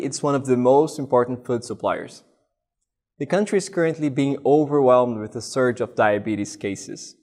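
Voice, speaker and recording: A man in his thirties from Brazil, a master's student giving a steady, scripted presentation full of statistics. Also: mid-range voice. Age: 30s.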